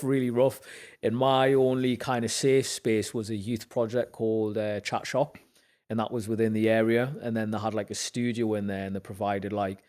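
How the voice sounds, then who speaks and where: Low-voiced Newcastle man telling stories. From Zambia. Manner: animated storyteller acting out quoted dialogue, lifts in pitch when excited. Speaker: podcast guest, actor and musician. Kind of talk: casual storytelling chat.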